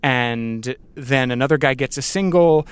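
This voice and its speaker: A man's voice: medium pitch, unhurried, smooth, no echo. A man hosting a podcast interview, musing earnestly and reflectively in a conversational way.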